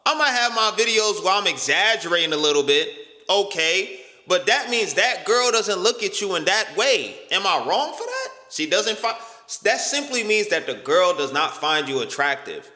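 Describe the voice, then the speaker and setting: A man hosting a reaction video in casual, slangy talk, incredulous and animated, protesting loudly. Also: normal speed, roomy sound, in a large hall. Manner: animated, incredulous, slangy, pitch jumps high when protesting.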